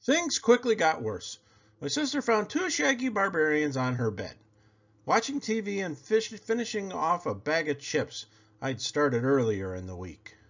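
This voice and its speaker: Very animated man reading a children's storybook. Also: on the high side. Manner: theatrical, expressive delivery with drawn-out words. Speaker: storybook reader. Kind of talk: animated children's read-aloud. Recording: slightly dull, lo-fi audio.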